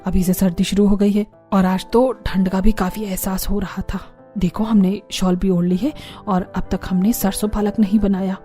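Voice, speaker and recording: A woman's voice: medium pitch, level tone, moderate pace, dark tone, soft background music, natural voice. A woman voicing a stern, bossy older mother-in-law in a cartoon story, scolding and commanding. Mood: insistent.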